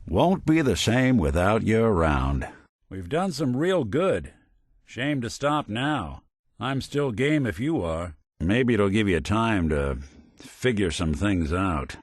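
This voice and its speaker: A man's voice: lowish voice, very animated, a little slow, no echo, clean studio sound, heavily acted. A man voicing a weary, wry android private detective in a video game, world-weary and sardonic in an old-fashioned noir way. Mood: warm, sincere.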